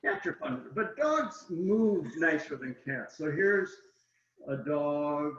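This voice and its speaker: A man with a fairly high voice talks casually about his craft as an interview guest, laying each point out step by step. He speaks directly to his listeners. The sound is very roomy and a little dull. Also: lively intonation, slow, fairly bright tone. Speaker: picture book illustrator, guest. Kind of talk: casual explanatory chat about art.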